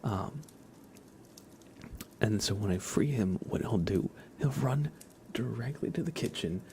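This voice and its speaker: A man chatting softly as an ASMR livestream host, in a gentle hushed voice that often drops into whispers. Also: on the low side, expressive, a little slow, slight background noise. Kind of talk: soft-spoken ASMR livestream chat.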